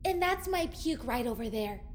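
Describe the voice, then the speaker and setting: An actress playing an excitable, feisty young oddball woman in an audio drama, blunt and slangy. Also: fairly high pitch, normal speed, slight background noise, lightly acted.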